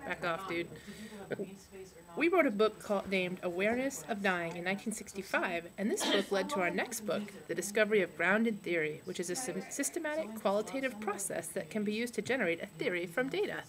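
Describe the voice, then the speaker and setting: A woman voicing a deadpan male sociologist puppet, reading out a comic lecture in a casual way. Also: medium pitch, a little fast, a crowd in the background, natural voice.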